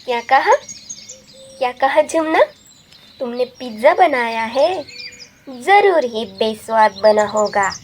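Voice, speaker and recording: A woman's voice: fairly high pitch, lively intonation, takes it slowly, long pauses, slight background noise. A woman voicing a tired, scoffing mother bird.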